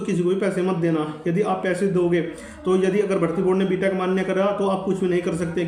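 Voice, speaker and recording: Fast, high-pitched man, echoey. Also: darkish tone. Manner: insistent, repetitive, earnest. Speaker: exam update YouTuber. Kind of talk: informal advisory update to viewers. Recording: echoey room.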